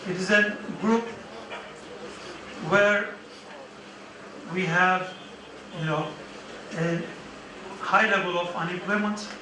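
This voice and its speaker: A man giving formal remarks as guest speaker at a conference, halting often with fillers and restarts. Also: sits high, fairly even intonation, background noise. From Turkey. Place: large conference hall. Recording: echoey hall, distant mic.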